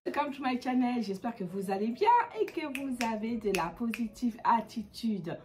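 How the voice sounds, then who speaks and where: A woman's voice: a little high, moderate pace. Woman w a blocked nose doing a beauty how-to, chatty, lots of ums, faint music under. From Comoros. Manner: chatty, many hesitations. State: stuffy nose from a cold. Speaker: beauty vlogger. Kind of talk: casual how-to vlog.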